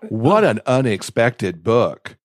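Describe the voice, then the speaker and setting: A man's voice: medium pitch, very animated, takes it slowly, no echo, studio quality. A man co-hosting a podcast, talking casually about books in an agreeable, enthusiastic way. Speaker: podcast co-host.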